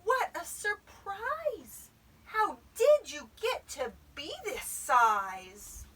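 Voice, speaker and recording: A woman's voice: very high-pitched, animated, slow, fairly bright tone, pitched above the performer's own voice, heavily acted. A woman voicing a frightened little boy in a storybook read-aloud, gasping and exclaiming. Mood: amazed, dismayed. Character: frightened, wide-eyed little boy who lost his teddy.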